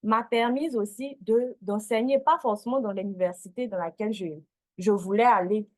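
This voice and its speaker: A woman speaking as a consultant on a panel, formal and deliberate. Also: medium pitch, no room sound. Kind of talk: panel discussion.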